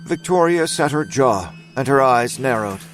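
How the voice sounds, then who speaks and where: A man's voice: mid-range voice, lively intonation, normal speed. A man narrating scripted fiction as a storyteller in an audio drama. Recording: faint music under narration.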